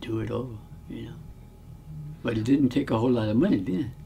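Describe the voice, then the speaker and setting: A man's voice: medium pitch, brisk, vocal fry, warm tone, a little hiss or hum. A man in his nineties reminiscing in casual conversation, mostly answering in short agreeing replies. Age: 90s. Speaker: interviewee.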